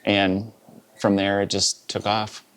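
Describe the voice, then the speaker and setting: Wisconsin man, low and flat. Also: takes it slowly, smooth. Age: 50s. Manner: relaxed, rambling, with many "uh" and "you know". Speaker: live sound engineer guest. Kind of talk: casual interview storytelling.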